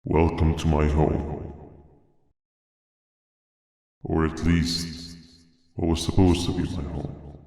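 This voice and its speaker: A man voicing a calm, menacing monster villain in a horror video game, speaking in a measured, ominously polite, philosophical way. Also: extremely low pitch, creaky, full character voice. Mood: brooding, wistful. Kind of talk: scripted horror game character monologue. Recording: long cavernous echoing reverb.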